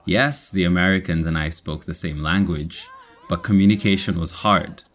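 Young Kenyan man, very low voice. Measured, clear scripted storytelling. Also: a bit bright. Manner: slow, clear, measured reading. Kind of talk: scripted personal story for learners.

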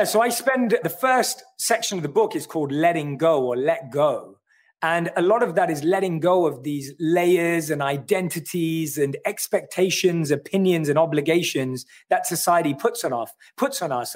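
A man with a London accent who talks through his ideas in an earnest, reflective way. His voice sits a little high for a man. He speaks as an author in a livestream chat. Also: normal speed. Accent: London English. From London, England. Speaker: author and guest. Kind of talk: livestream conversation.

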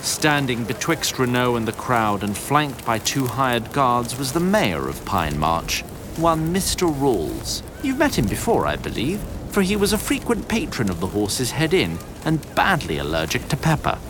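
A man's voice: mid-range voice, sing-song, a little slow, noisy background. A man giving scripted story narration in a theatrical, literary and wry manner.

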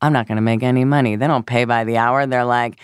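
A woman co-hosting a podcast, telling stories in a chatty, animated way with lots of fillers. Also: a bass voice, fast talker, clean tone, no echo, studio quality. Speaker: podcast co-host. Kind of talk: casual chat.

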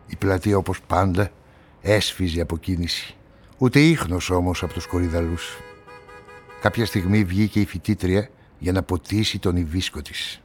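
An actor playing a brooding journalist and amateur sleuth in a radio play, measured and introspective. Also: male voice, sits low, natural voice. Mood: reflective. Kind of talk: radio play narration and dialogue. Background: music.

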